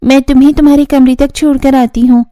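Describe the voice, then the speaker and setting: A woman's voice: high-pitched, little pitch movement, fast talker, dark tone, natural voice. A woman playing a friendly, helpful young sister-in-law in a cartoon family story, welcoming and reassuring.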